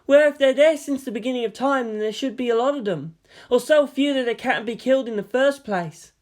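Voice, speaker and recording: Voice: medium pitch, fairly quick, a little mellow, voice pushed up, natural voice. A narrator voicing an earnest, geeky young male lieutenant who lectures emphatically. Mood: emphatic, lecturing.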